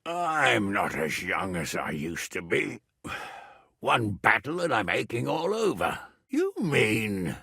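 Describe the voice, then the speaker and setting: A gruff middle-aged nobleman and guardian in a medieval video game. His voice is forceful and gravelly, and he takes his time over his words. He is blunt, earthy and used to giving orders. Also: on the low side, wildly swooping pitch, slow pace, dry recording, clean studio sound, full character voice. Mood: weary, firm. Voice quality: gravelly, raspy. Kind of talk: dramatic video game dialogue.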